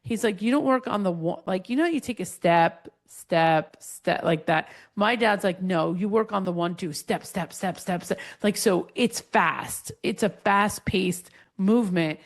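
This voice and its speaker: A woman hosting a casual interview, telling stories quickly and with lively energy, with frequent "like" fillers. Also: mid-range voice. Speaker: podcast host.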